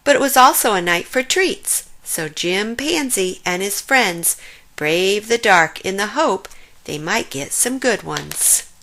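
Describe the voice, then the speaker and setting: A woman narrates a children's story in a bright, expressive voice. Her pitch moves up and down a lot, and she takes her time with the lines. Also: slow pace, low-quality recording.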